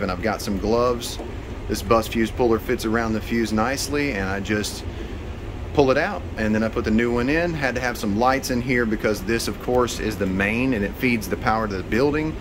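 A man in his thirties with an American accent, explaining things casually and upbeat as a technician hosting an instructional vlog. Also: on the low side. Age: 30s. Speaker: HVAC technician and video host. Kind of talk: informal instructional vlog to camera. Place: outdoors by a building and in utility spaces. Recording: clear background noise, outdoors and utility room.

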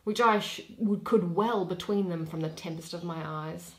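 A young woman giving an expressive dramatic reading of a Shakespeare play, with theatrical, deliberate phrasing and pauses. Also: fairly low pitch. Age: young adult. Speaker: reader.

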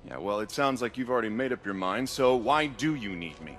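A man's voice: mid-range voice, lively intonation, brisk, a bit bright, natural voice. An actor playing a straight-laced, protective older brother in a video game, earnest and pleading in his exasperation. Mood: exasperated. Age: young adult. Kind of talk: cinematic video game acting. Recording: dramatic music behind the voice.

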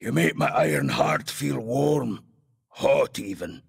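A man voicing a gruff, fiery dwarf for a video game, with a raspy voice, a Scottish accent and a hearty, blustering delivery. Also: medium pitch, lively intonation, slow pace, heavily acted. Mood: flirtatious. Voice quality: gravelly, raspy. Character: gruff, fiery, boisterous male Dark Iron dwarf. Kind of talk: video game character voice lines.

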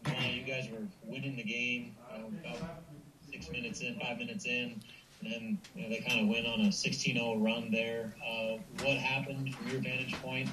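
A man, a sports reporter, asking a long, halting question at a press conference. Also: medium pitch, steady tone, crowd noise. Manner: hesitant, long wordy question. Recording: distant voice with background noise.

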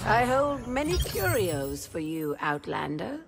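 A woman's voice: medium pitch, very animated, a little slow, creaky, background noise. A woman playing a courteous, cryptic alien desert trader in a video game, speaking in formal, archaic phrases. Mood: courteous, cautionary. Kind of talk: video game character dialogue.

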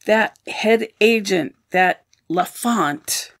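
A woman's voice: mid-range voice, extremely slow, vocal fry. A woman playing a solemn, grieving and protective old woman in an audio drama. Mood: anxious, protective.

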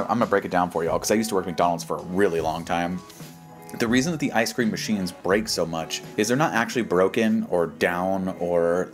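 A man giving casual, slangy, skeptical commentary and reading posts aloud in a joking way. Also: low voice. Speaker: host of a reaction show. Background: background music.

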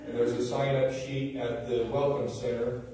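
A middle-aged male pastor at a lectern giving church announcements, relaxed and a little hesitant. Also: medium pitch, moderate pace. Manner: relaxed, hesitant, filler-heavy. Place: large church sanctuary. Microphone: lectern microphone. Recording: echoey sanctuary from far off.

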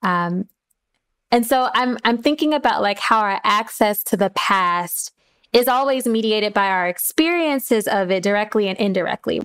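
Smooth, clear woman, close and dry. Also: a little high, dry recording. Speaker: playwright and panelist. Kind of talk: reflective discussion.

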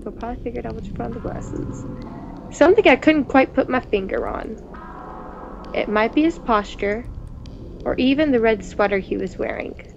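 A woman co-hosting a gaming video, reading visual novel text aloud in a playful, expressive way with casual asides. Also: a little high, normal speed, gravelly. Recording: dull, noisy home recording.

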